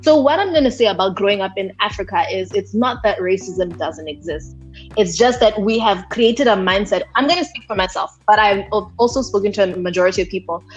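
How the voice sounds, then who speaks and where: A young woman from Botswana, co-hosting a podcast in casual chat, animated and slangy, acting out quotes as she talks. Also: mid-range voice, a little fast. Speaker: podcast co-host. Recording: slightly dull lo-fi sound with faint music.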